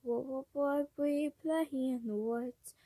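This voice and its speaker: Woman voicing a shy, soft, hesitant young romantic man. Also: sits high, takes it slowly, no room sound, exaggerated voice. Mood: soft singing. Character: shy, gentle, softly spoken man in love.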